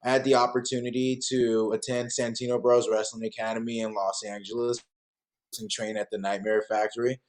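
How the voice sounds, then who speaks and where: A man's voice: mid-range voice, flat delivery, a little fast. A man from Cameroon who grew up in Oakland, a pro wrestler giving polite, composed interview answers in full sentences. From Cameroon, raised in Oakland, California.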